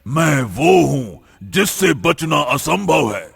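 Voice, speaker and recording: A man voicing a menacing, taunting dark shadow spirit with a gravelly voice in a cartoon fairy tale. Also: fairly high pitch, sing-song, no room sound, exaggerated voice. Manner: menacing, drawn-out riddling delivery.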